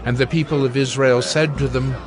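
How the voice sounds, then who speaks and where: A man narrating scripture in a solemn, measured, scripted dramatic style. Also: medium pitch, flat delivery, crowd noise.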